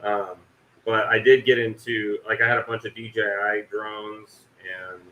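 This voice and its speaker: A man's voice: on the low side, flat delivery, unhurried, sharp tone, reverberant, highs cut off. A man hosting a casual livestream chat, rambling and mocking himself, with the odd swear. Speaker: livestream host.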